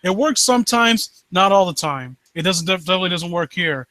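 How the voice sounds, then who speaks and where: A man hosting a live stream, reading and commenting on stories in a halting, rambling way and often rephrasing himself. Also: high-pitched, lively intonation, bright, forceful tone, no echo. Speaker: live stream host reading and critiquing amateur horror stories. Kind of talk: story read-aloud and casual commentary.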